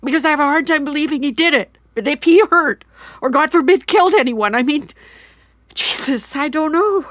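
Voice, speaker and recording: An actress playing an anxious, self-blaming middle-aged mother in an audio drama, speaking haltingly and in distress. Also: high-pitched, lively intonation, a bit bright, dry recording, studio quality. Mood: anguished. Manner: halting, distressed, repeating herself. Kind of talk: naturalistic audio drama acting.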